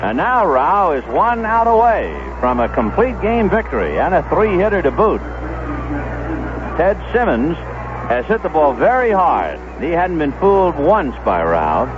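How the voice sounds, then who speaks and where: A man doing 1970s radio baseball play-by-play, measured and descriptive, telling small stories between pitches. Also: sing-song, noisy background. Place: stadium broadcast booth. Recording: dull lo-fi vintage AM radio broadcast.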